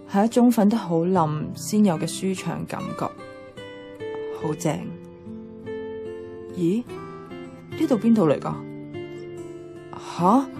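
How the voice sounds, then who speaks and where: An actress playing a feisty, grumbling young flat-hunter with a Hong Kong Cantonese accent, expressive and slangy in a radio drama. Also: female voice, mid-range voice. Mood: contented, then confused.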